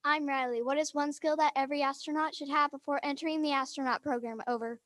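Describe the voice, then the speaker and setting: A woman's voice: high-pitched, steady tone, quick pace, a bit bright, no echo, clean studio sound. A female student asking prepared questions during a radio contact. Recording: very noisy radio contact audio, clicks.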